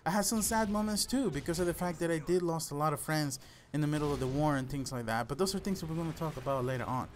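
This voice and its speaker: A man's voice: on the high side, quick pace, slight background noise. A young man from El Salvador, a native Spanish speaker with a Salvadoran Spanish accent, hosts a gaming channel, telling personal stories casually and earnestly to his listeners. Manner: earnest, addresses listeners as "guys". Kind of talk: casual personal storytelling over gameplay commentary.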